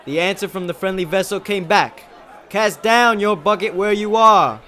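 A man's voice: a little high, lively intonation, a little slow, a little hiss or hum. A man playing an earnest, deferential young college student in a dramatized first-person reading of a novel. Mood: fervent. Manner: earnest, respectful, oratorical when addressing a crowd.

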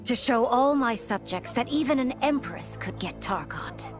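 An actress playing a proud, earnest empress, narrating a game ending over music. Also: female voice, on the high side, animated, moderate pace, close to an everyday voice. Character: proud young empress with a hidden affliction.

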